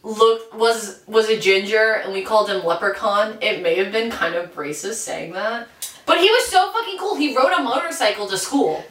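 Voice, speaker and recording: A woman's voice: medium pitch, moderate pace, fairly bright tone. A young woman co-hosting a podcast, chiming in with quips in casual chat. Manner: chimes in with quips, laughs a lot. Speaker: podcast co-host. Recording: echoey room, mic at a distance.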